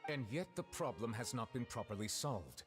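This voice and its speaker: An actor playing a stern, solemn adult male judge in a video game, speaking formally and in measured phrases. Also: medium pitch, big pitch swings, natural voice. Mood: stern, pressing. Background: orchestral game music.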